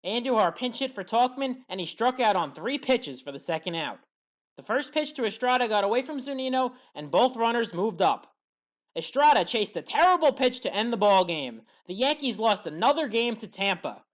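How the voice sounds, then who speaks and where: A woman's voice: on the high side, big pitch swings, normal speed. A woman commentator reading a scripted play-by-play sports recap in a factual, orderly way.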